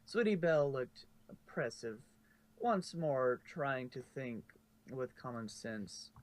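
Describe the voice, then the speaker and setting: Male narrator, mid-pitched, storybook reading style. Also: animated, slow, a little mellow. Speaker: narrator of a fan fiction reading. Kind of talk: storybook narration with casual asides.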